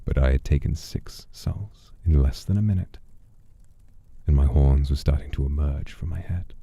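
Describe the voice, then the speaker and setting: A man telling a dramatic first-person story as a streamer, in a theatrical, wry and unhurried manner. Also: rumbling low, creaky, warm tone, slightly rough, dry recording.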